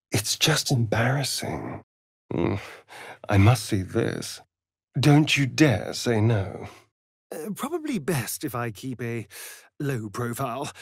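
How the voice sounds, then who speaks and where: A man's voice: medium pitch, breathy edge, no room sound, clean studio sound, exaggerated voice. A male actor with an English accent voicing a sardonic, seductive, vain vampire rogue in a video game, with an arch, flamboyant drawl. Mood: mocking. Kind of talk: theatrical video game dialogue.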